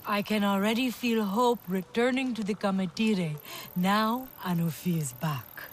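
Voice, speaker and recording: An actress playing a dignified, protective alien tribeswoman in a video game, with a measured, formal way of speaking. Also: medium pitch, a little hiss or hum, natural voice. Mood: hopeful, welcoming.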